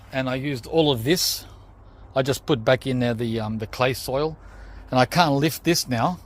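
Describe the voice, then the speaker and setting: A man whose first language is Greek, hosting a gardening vlog outdoors, tired after digging, explaining each step and calling viewers guys. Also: mid-range voice. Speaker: gardening channel host. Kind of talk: casual vlog talk to camera.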